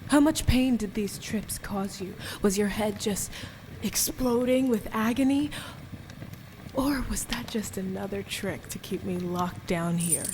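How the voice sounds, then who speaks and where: A woman's voice: mid-range voice, measured pace, darkish tone, a little hiss or hum, natural voice. A woman acting a defiant, wounded young captive in a radio play, with a raw, emotional delivery. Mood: bitter, probing. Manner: emotional, accusing, pleading.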